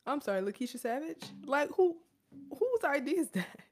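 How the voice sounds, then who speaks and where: A woman co-hosting a podcast, chatting casually in an animated, jokey way and laughing often. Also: high-pitched, moderate pace, soft background music. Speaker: podcast co-host. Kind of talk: casual chat.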